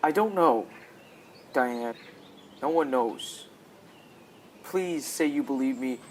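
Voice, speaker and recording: A man's voice: mid-range voice, slow, slight background noise, natural voice. A teenage boy playing a troubled, pleading company boss and devoted husband in a radio drama. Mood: pleading, anguished. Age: teens, high school student.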